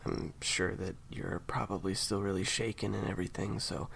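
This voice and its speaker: A man acting in an intimate audio roleplay as a caring, awkward handler who speaks softly and haltingly to an injured partner. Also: sits low, fairly even intonation, creaky, slight background noise, exaggerated voice. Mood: soothing, hesitant. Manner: hesitant, halting, soft-spoken. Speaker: voice actor.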